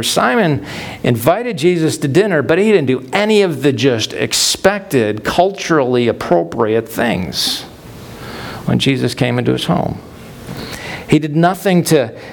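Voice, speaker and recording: A man's voice: medium pitch, gravelly. A man preaching a sermon as pastor, explaining scripture in a conversational teaching manner with frequent fillers.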